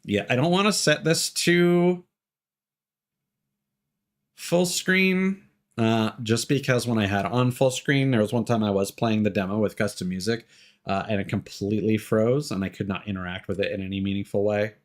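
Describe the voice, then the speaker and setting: Man, low voice, casual game stream, rambling, dry jokes, sweary. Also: moderate pace. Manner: rambling, sweary, dryly joking. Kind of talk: casual live gameplay commentary.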